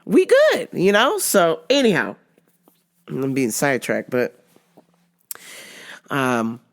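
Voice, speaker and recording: A woman's voice: low-pitched, huge pitch swings, slow. A woman hosting her own podcast, congested with a sore throat, rambling casually, swearing a lot and laughing often. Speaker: podcast host. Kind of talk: casual solo podcast monologue.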